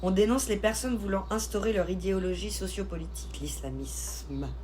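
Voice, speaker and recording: A woman's voice: mid-range voice, fast. Informal woman streamer, noisy background. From Switzerland. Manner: informal, slangy, expressive. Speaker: live streamer chatting with viewers. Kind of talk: casual live chat, reading and answering comments. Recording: live stream with clear background noise.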